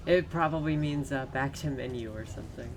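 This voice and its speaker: A woman playing a game with a friend, answering briefly and quietly over voice chat. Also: very deep voice, brisk, background noise.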